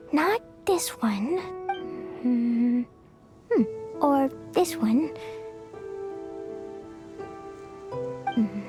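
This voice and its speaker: A woman playing an excitable, innocent little girl in an anime game, with a bubbly, childlike delivery. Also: sits high, full character voice. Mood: thoughtful, cheerful. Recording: music behind the voice.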